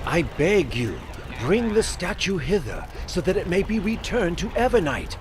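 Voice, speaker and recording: A man's voice: sits high, big pitch swings, moderate pace, noisy background. An actor playing a humble elf man who pleads in formal, old-fashioned speech in a game cutscene. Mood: pleading.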